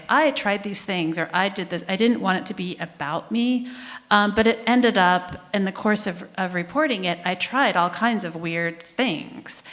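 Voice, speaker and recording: A woman's voice: fairly low pitch, fairly bright tone. A woman science author answering on a conference panel, chatty and loose, often saying "like". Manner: chatty, fillers like "like". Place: conference hall. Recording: reverberant conference hall.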